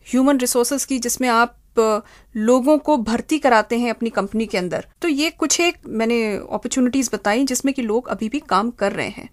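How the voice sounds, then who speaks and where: High-voiced woman explaining in an interview. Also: no room sound, clean studio sound. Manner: explanatory, spells out terms with examples. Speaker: human resource consultant, interview guest.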